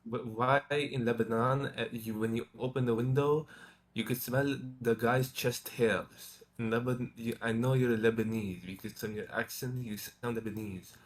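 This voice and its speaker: A man's voice: medium pitch, fairly even intonation, normal speed. A young man calling into a debate stream, defensive and hesitant. He stumbles and restarts as he fends off pointed questions. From born in Pakistan, raised in London. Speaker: debate caller. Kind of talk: casual, defensive debate talk.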